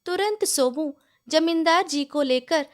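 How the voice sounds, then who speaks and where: A woman's voice: sits high, measured pace. A woman storyteller narrating a scripted moral tale.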